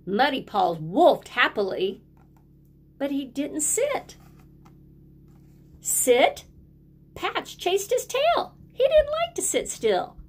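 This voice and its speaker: Lady teacher reading a storybook to little kids, really slow and simple, bit high pitched, asks them to join in. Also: sing-song. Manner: simple, deliberate phrasing, prompting children to repeat words. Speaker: teacher reading a picture book aloud to children. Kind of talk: animated children's read-aloud with questions to young listeners.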